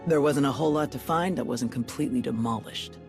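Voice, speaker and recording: A man's voice: mid-range voice, fast, darkish tone, close to an everyday voice. A man playing a frank, discouraged recovery team member in a video game. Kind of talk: video game dialogue acting. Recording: music behind the voice.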